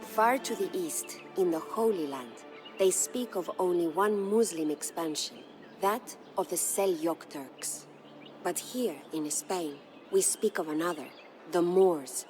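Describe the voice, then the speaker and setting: A proud, mourning Spanish noblewoman voiced by an actress tells a dramatic game story. Her voice sits on the low side, with formal, measured and solemn phrasing. Music plays clearly behind her. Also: a little mellow, natural voice. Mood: solemn, storytelling. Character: proud grieving noble Spanish widow, lady of a castle. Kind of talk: dramatic scripted storytelling narration.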